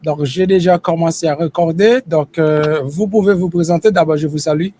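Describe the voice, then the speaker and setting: A man from Haiti hosting an online interview, speaking haltingly with many fillers and restarts. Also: a little high, fairly even intonation, mellow, dry recording. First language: Haitian Creole. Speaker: YouTube host interviewing a lawyer. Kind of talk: casual interview.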